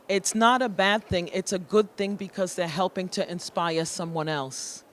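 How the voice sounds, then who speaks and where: Earnest woman giving a motivational talk. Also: on the low side. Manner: earnest, storytelling, exhorting. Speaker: guest speaker at a health awareness event. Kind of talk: motivational talk to a live audience. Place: event room with audience.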